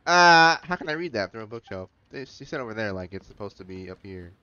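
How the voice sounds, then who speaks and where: Animated man commentating on his gameplay. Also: on the low side, fast talker, muffled recording. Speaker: gaming streamer playing through a story quest.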